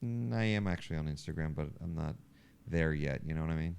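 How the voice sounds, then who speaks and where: A man's voice: a bass voice, fast, creaky, a little mellow, slight background noise. A man hosting a casual podcast and chatting informally. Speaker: podcast host. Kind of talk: casual podcast chat with sponsor plug.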